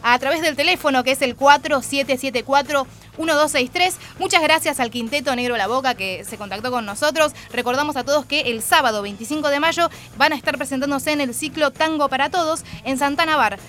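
A woman's voice: high voice, a little fast, a bit bright. A woman with a Buenos Aires accent hosting a radio show in casual, chatty style. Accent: Argentine, Buenos Aires. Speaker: radio host. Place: radio studio. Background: music.

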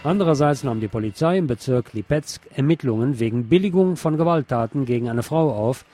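A man reading scripted radio news in a measured, formal manner. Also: medium pitch, animated, dark tone, faint music behind.

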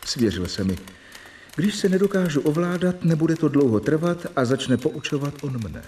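A man's voice: fairly high pitch, expressive, dark tone, a little hiss or hum. Brooding male narrator. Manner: brooding, questioning. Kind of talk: reflective scripted narration.

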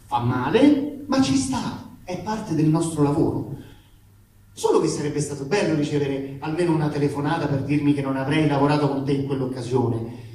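Echoey, higher-voiced man reading with aggrieved irony. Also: normal speed, darkish tone. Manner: emphatic, aggrieved, ironic, colloquial with swearing. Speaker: actor reading aloud. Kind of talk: comic theatrical reading of a letter to a live audience. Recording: echoey room, distant mic, faint noise.

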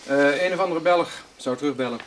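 A man's voice: on the high side, brisk, noisy background, natural voice. Laconic male police assistant played by an actor. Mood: offhand. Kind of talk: radio drama acting.

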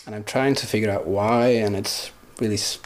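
A man's voice: fairly low pitch, steady tone, normal speed. A man whose first language is Swedish, a musician giving casual, reflective interview answers as he searches for his words. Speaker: musician guest.

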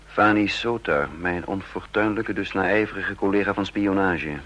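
An actor playing a wry, introspective spy living under a false identity, narrating and talking in a measured, reflective way in a radio play. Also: male voice, sits low, fairly even intonation, fairly bright tone, highs cut off, a little hiss or hum. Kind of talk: first-person narration and dialogue in Dutch radio play.

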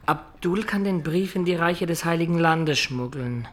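A man's voice: fairly high pitch, normal speed, close to an everyday voice. An actor playing a wistful adventurer in a radio drama, recounting his life in a reflective, confiding way. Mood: eager, practical. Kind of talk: radio drama acting and storytelling.

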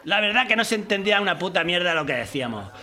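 High bright punk dude, cheeky and blunt, laughing it off. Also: male voice, very animated, quick pace. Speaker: punk band member. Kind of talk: casual storytelling.

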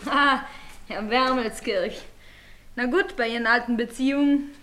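An actress playing a brusque young rural maidservant in a German radio play, with a Silesian accent and an earthy, snappish way of talking. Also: female voice, a little high, a little slow, sharp tone. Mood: dry.

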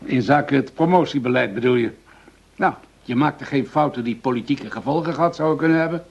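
An actor playing a pragmatic, patronizing senior police commissioner in a radio drama, dismissive and mildly impatient. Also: male voice, medium pitch, a little fast, creaky, slightly rough. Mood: puzzled, patronizing. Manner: dismissive, patronizing, hesitating with "nou". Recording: slightly dull, lo-fi radio recording.

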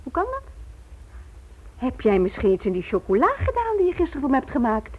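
A woman's voice: high-pitched, wildly swooping pitch, a little fast, slightly rough, heavily acted. An actress in a Dutch radio drama playing a worried, anxious wife who questions her husband. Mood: puzzled. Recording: tinny, noisy old radio recording.

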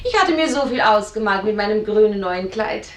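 An actress playing a passionate, wilful young daughter in a radio drama, restless for freedom. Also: female voice, medium pitch, animated, brisk, distant mic, slight background noise, close to an everyday voice. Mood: proud, wistful. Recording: echoey room, slightly off microphone.

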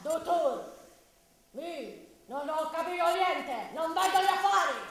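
Dim, greedy boss: very high, slow, querulous, commedia stage, distant and echoey. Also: female voice, animated, fairly bright tone, exaggerated voice. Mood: greedy, wary. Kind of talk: improvised commedia dell'arte stage acting. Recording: echoey hall, distant microphone.

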